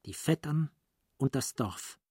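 A man narrating a radio play as a literary storyteller, in a deliberate, dramatic manner. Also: medium pitch, expressive, darkish tone, breathy edge, no echo, studio quality.

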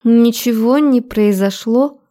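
A tearful, deceitful young woman from an audiobook melodrama, acted out by a female narrator. Her speech is high, whiny and drawn out, with a clingy, pleading manner that tips into hysteria. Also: slow pace, mellow. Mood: defensive, panicky.

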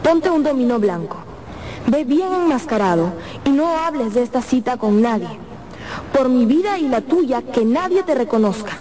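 An actress in a radio drama playing an earnest, pleading young opera singer who is in love and afraid. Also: female voice, high-pitched, sing-song, moderate pace, poor audio. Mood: secretive, urgent. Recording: music playing behind the voice. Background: music.

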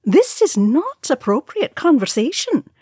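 A woman's voice: high-pitched, sing-song, unhurried, dark tone, no room sound, studio quality, pitched above the performer's own voice. A woman voicing a stern, disapproving dwarf matron in a storybook reading, prim, haughty and scolding. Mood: dissatisfied.

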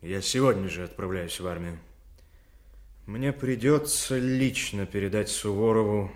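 An actor playing a sceptical but compliant secret agent in a radio drama, with a guarded, questioning manner. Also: male voice, fairly low pitch, a little slow, natural voice. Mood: resigned. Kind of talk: Soviet radio drama acting.

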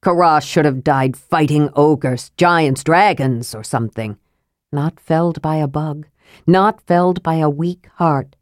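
A woman voicing a grim, stubborn old male dwarf in a fantasy audiobook, speaking in a gruff, firm, terse way. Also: low voice, unhurried, no echo, clean studio sound. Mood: angry, grim.

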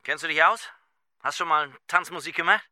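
An actor playing a jovial, breezy dance band leader making salesmanlike small talk in a radio drama. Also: male voice, fairly high pitch, brisk, sharp tone. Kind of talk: radio drama phone dialogue.

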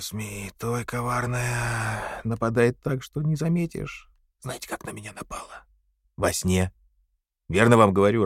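An actor playing a simple, frightened, eager party loyalist in an audio drama, stammering and pleading naively. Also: male voice, mid-range voice, vocal fry, dry recording, studio quality. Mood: confiding, proud.

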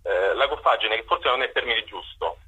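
Guy on a radio interview, talks fast and digresses, very tense bright raspy voice, bit lo-fi. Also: on the low side, lively intonation. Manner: digressive, self-correcting. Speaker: actor, interview guest. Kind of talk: conversational radio interview answers.

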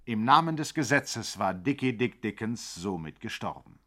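An actor playing a wry, theatrical storyteller narrating a 1960s German crime comedy on radio. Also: male voice, lowish voice, animated, normal speed, pushed and bright. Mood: mock solemn.

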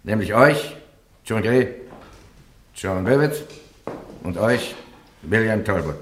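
An actor playing a stern, formal church judge presiding over a trial in a radio play, speaking with authority. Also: male voice, on the low side, expressive, slow, slight background noise. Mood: stern, commanding. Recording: echoey courtroom-like room.

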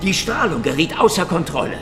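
Sardonic hardboiled detective, swooping delivery. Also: male voice, a little high, big pitch swings, fairly quick. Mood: accusing, grim. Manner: sardonic, dramatic. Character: hardboiled Soviet secret police detective, sardonic and defiant. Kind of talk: German radio drama acting with noir first-person narration. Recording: reverberant hall, loud music underneath.

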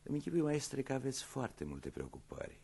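A man's voice: medium pitch, wildly swooping pitch, vocal fry, dark tone, breathy edge, heavily acted. An actor playing a polite but insistent police inspector, slyly ironic as he questions suspects in a radio play. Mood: insistent, probing.

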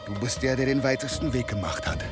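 An actor playing a weary, gruff former berserker warrior in a German audio drama, terse and grimly resigned. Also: male voice, mid-range voice, expressive, fast talker, loud music behind the voice, close to an everyday voice.

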